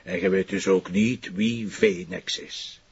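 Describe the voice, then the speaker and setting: An actor playing a gruff, sardonic Flemish police commissioner in a television drama, with a gravelly, commanding delivery. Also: male voice, fairly high pitch, wildly swooping pitch, takes it slowly, a little hiss or hum, exaggerated voice. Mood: accusing, sardonic. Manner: sarcastic, commanding, swearing. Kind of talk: naturalistic television drama acting. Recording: dull, lo-fi TV audio.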